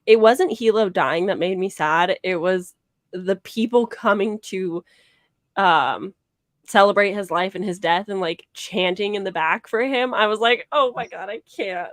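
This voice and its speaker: A woman's voice: mid-range voice, measured pace, no room sound, clean studio sound. A young adult woman chatting casually about books, informally peppering her talk with "like", on a video call. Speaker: book club guest. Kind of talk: casual animated book discussion. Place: small home room with bookshelves, video call. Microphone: close desk microphone.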